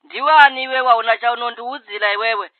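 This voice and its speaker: A man voicing a cantankerous, excitable uncle in a comedy cartoon, scolding and repeating himself. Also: extremely high pitch, fast talker, dry recording, phone-quality sound. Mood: indignant scolding.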